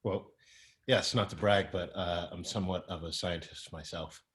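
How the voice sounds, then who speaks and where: An actor playing a boastful, nerdy scientist who is awkwardly eager, in a screenplay read. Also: male voice, sits low, fairly even intonation, moderate pace, fairly bright tone. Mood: smug, curious.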